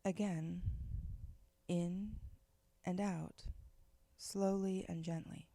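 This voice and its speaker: Woman meditation guide, very slow and gentle, dry close recording. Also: lowish voice, steady tone, no echo. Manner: slow, soft, gentle instructions with long pauses.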